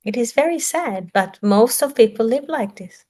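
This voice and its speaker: A woman's voice: fairly high pitch, animated, normal speed, dark tone. Woman giving a searching spiritual talk. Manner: searching for words, rhetorical questions. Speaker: spiritual teacher.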